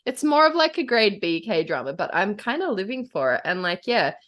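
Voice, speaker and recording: A woman's voice: mid-range voice, very animated, normal speed, a bit bright. A woman co-hosting a podcast in casual chat, giggly and rambling, saying "like" a lot. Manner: giggly and rambling, heavy use of "like". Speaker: podcast co-host.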